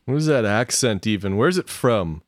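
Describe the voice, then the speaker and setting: A man's voice: on the low side, expressive, moderate pace, no room sound, studio quality, lightly acted. A man playing a touchy, evasive grouch who talks in curt, stammering bursts in a comic audio drama. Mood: scornful.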